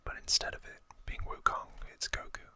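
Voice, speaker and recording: A host whispering softly and haltingly close to the microphone, explaining trivia informally in an ASMR style. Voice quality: soft unvoiced whisper.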